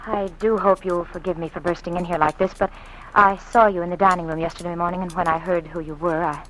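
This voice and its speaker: Actress as anxious, faltering genteel radio-drama wife. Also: female voice, on the low side, fairly even intonation, fast talker, lightly acted. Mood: polite, flattering. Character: anxious, secretive politician's wife pleading for help. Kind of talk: 1940s radio drama acting, melodramatic. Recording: faintly noisy old radio recording.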